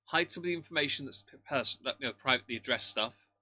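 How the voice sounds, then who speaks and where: Man with a very bright, cutting voice. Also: mid-range voice, fast talker, breathy edge, dry recording. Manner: blunt, scornful, crude humour. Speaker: live stream commentary co-host. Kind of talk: sarcastic reaction commentary.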